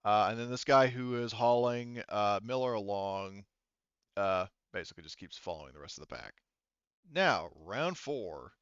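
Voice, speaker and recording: A man acting as game master, narrating a tabletop roleplaying game in a halting, thinking-aloud way. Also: fairly low pitch, lively intonation, a bit bright, clear, resonant tone, no room sound, lo-fi sound. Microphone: headset.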